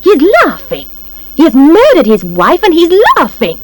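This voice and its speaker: A woman voicing a bossy, accusing village wife in a storybook, with sharp, loud exclamations. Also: high voice, steady tone, a little slow, background noise, pitched above the performer's own voice. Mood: outraged, accusing. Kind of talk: dramatised storybook reading.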